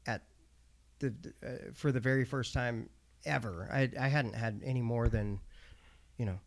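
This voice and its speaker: A man in his late thirties, hosting a casual podcast conversation, speaking reflectively and haltingly with many pauses to find his words. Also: mid-range voice, gravelly. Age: 30s.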